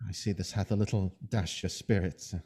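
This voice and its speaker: An actor playing a scheming soldier who feigns honesty, in measured, insinuating Shakespearean verse for an audio drama. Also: male voice, sits low, fairly even intonation, a little mellow, slightly rough. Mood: falsely loyal.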